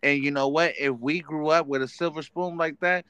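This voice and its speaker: A man in his thirties from the Bay Area, hosting a casual podcast chat with profane, rapid interjections. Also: fairly high pitch, fairly even intonation, sharp tone, slight background noise. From San Francisco Bay Area, California. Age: 30s. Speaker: podcast host.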